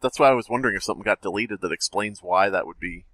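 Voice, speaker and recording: A man's voice: on the low side, rapid-fire, a bit bright, no echo. A man co-hosting a casual podcast, laughing often and mocking the story they read. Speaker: podcast co-host. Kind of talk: casual comedic chat.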